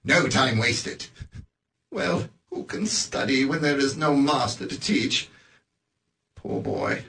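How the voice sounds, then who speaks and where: An actor playing a bitter, miserly old man in a radio play, speaking in a halting, stammering way. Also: medium pitch, huge pitch swings, vocal fry, sharp tone, distant mic, a little hiss or hum, full character voice. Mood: regretful.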